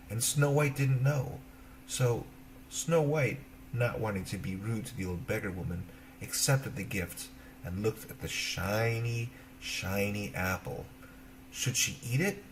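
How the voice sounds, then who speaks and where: Guy with a lowish voice reading kids a fairy tale, expressive, bit of noise behind him. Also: low-quality recording. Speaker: storyteller. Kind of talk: children's fairy tale narration.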